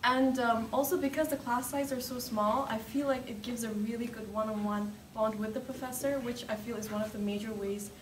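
A woman's voice: on the high side, steady tone, fairly quick, few pauses, reverberant. Young female student guide from Nepal and Tibet, informal and emphatic, distant mic with faint noise. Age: young adult. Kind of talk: casual unscripted campus tour. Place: indoor hallway and classroom.

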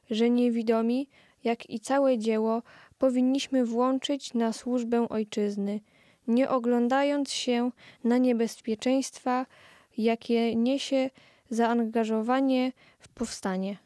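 A woman narrates a historical audio play at an unhurried, slow pace. Her voice is soft-toned and mellow, recorded with almost no room echo. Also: on the high side, fairly even intonation, studio quality.

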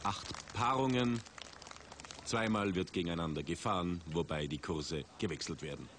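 A man commentating a ski race for TV, measured and rising in excitement at big moments. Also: sits low. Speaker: sports commentator. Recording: 1980s TV broadcast with outdoor noise.

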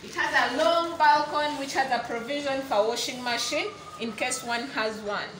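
A woman's voice: high voice, moderate pace. A young Ugandan woman with a Ugandan accent, leading an enthusiastic walking tour of an unfinished apartment building as a property sales guide. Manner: enthusiastic, showing rooms with wide gestures. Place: unfinished concrete apartment building, indoors and outdoors. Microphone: phone camera held by someone following her, at a distance. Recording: echoey bare rooms, distant, noisy.

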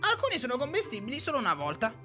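Brief: woman, fairly high lively voice, playful roleplay banter, music loud underneath. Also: racing. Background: loud background music.

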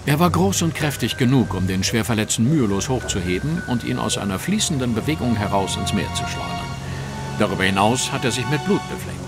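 A man's voice: medium pitch, animated, a little fast, loud music behind the voice, natural voice. An actor playing a brilliant, self-assured male detective, precise and commanding, in a German audio drama. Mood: analytical, assured.

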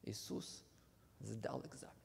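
A man preaching a sermon, slow and earnest, often dropping to a hushed near-whisper at the ends of phrases. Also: a little hiss or hum. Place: church hall. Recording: long hall reverb.

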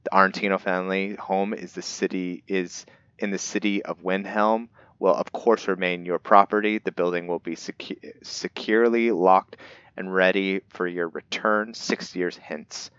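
Man, deep pitch, casual roleplay game commentary, host, slightly muffled recording. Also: fairly even intonation, normal speed, fairly bright tone, smooth, dry recording. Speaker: gaming video host narrating his roleplay playthrough.

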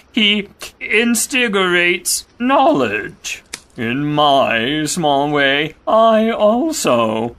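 A man's voice: fairly high pitch, pushed and bright, exaggerated voice. A man playing a gloomy, self-important old male donkey in an audio drama, speaking with sarcasm and pomposity. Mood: pompous.

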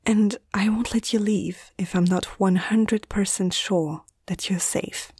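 A woman playing a caring young female veterinarian in a soft, hushed ASMR roleplay, speaking gently and a little hesitantly. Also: mid-range voice, fairly even intonation, a little slow, slightly rough, dry recording, clean studio sound. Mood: protective, shy.

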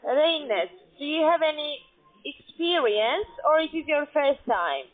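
A woman with a high voice makes a scripted sales call as an account manager. She sounds squeezed through a narrow, tinny telephone line. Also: lively intonation, slow pace.

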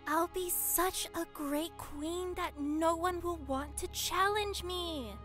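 A woman's voice: very high-pitched, slow pace, fairly bright tone, faint music behind, heavily acted. A woman playing a vain, airheaded dragon princess in an audio drama, gushing and haughty. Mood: offended, boastful. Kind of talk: fan audio drama acting.

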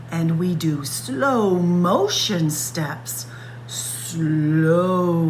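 Very slow, expressive woman reading a storybook. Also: lowish voice, a little hiss or hum. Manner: expressive, child-directed delivery, stretching and acting out words. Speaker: librarian reading aloud for children. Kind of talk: picture-book story time read-aloud. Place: indoors, library room with bookshelves.

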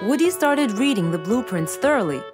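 A woman's voice: on the high side, big pitch swings, normal speed. A woman narrator reading a scripted children's story. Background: music.

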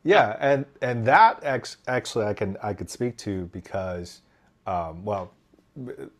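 A man's voice: fairly low pitch, measured pace. A man in his forties from Taiwan, a podcast guest telling his story animatedly on a video call with a headset, often restarting his sentences. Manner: animated, gestures while talking, frequent restarts. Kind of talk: casual interview storytelling. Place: home room indoors.

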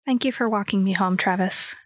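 A woman's voice: mid-range voice, a little fast, dry recording, natural voice. A woman playing a playful, slightly exasperated teenage schoolgirl sweetheart in an audio drama. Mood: soft, friendly.

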